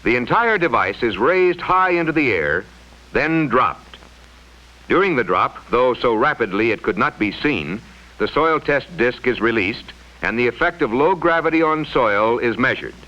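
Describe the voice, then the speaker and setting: A man reading scripted mid-century educational film narration in a formal, measured, explanatory way, on a vintage soundtrack. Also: medium pitch, animated, sharp tone, highs cut off.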